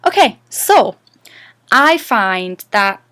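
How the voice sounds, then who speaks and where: Geordie woman vlogging about accents, chatty and a bit self-deprecating, smooth clear voice, dry close sound. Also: a little high, sing-song, extremely slow, a bit bright, no echo. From North East England. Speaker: actress giving an accent tutorial. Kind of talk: casual to-camera vlog.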